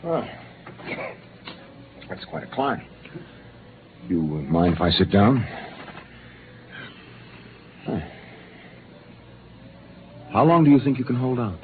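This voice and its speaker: An actor playing a stern but humane spaceship captain with an authoritative manner in a 1950s radio drama. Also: male voice, on the low side, big pitch swings, slow pace, pauses often. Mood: weary, reasoning. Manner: authoritative, clipped orders. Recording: tinny old radio recording with hiss.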